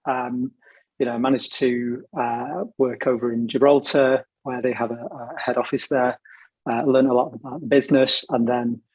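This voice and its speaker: A man speaks as a panel guest, his voice husky and worn from a sore throat. He rambles casually through his working life in a chatty, storytelling way. The sound is dry and close, with no room around it. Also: mid-range voice, darkish tone, dry recording, poor audio. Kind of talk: casual career talk.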